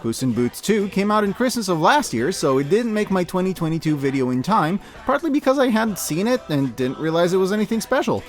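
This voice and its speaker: A man's voice: sits high, big pitch swings, brisk, few pauses. A man in his thirties giving scripted, enthusiastic video-essay commentary as a host, in an emphatic, gushing, informal way. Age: 30s. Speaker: host of a year-in-review media video. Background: music.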